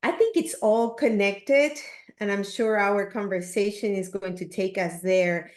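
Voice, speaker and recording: A woman in her fifties from Peru with a Peruvian Spanish accent, speaking in a measured, earnest way as an interview guest. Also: medium pitch. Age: 50s. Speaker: podcast guest, leadership coach. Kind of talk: reflective interview talk. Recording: roomy, echoing sound.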